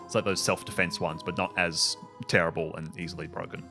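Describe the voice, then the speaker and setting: Low-pitched man running a tabletop game. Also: level tone, brisk, vocal fry. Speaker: game master. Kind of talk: improvised tabletop game narration, casual. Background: music.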